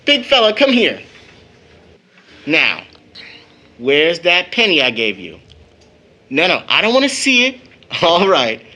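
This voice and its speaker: A man's voice: on the high side, huge pitch swings, bright, forceful tone, full character voice. An actor playing a young man, a devoted son with a bitter, teasing streak, in a dramatic audio play. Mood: playful, affectionate. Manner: dramatic, emphatic delivery with long pauses. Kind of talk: stage-style audio drama acting.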